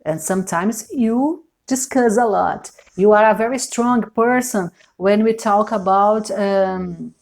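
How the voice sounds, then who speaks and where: A Brazilian woman with a clear Brazilian Portuguese accent gives a tarot reading in English, her second language. She talks slowly and deliberately, pausing often to search for the right word and circling back to repeat phrases. Her voice sits at an ordinary middle pitch. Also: darkish tone. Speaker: tarot reader. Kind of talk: unscripted tarot reading to camera.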